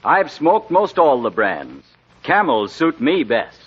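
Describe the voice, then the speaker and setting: Confident race driver, scripted testimonial, raspy, mid pitch, old radio ad, muffled lo-fi. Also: male voice, wildly swooping pitch, slow pace, a bit bright, full character voice. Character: confident male auto racer giving an endorsement. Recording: dull lo-fi 1940s radio recording.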